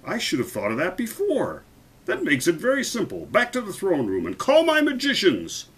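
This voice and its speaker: Man acting bellowing, exasperated king, forceful and raised. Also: high-pitched, huge pitch swings, moderate pace, husky, voice pushed up, full character voice. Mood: decisive. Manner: bellowing, imperious. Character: loud, exasperated, commanding storybook king.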